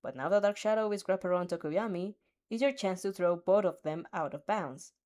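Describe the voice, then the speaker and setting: A woman's voice: fairly low pitch, a little fast, a little mellow. A woman reading a fan story aloud in second person, often stumbling over words and restarting. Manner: reads with frequent slips, restarts and giggles. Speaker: fanfiction reader.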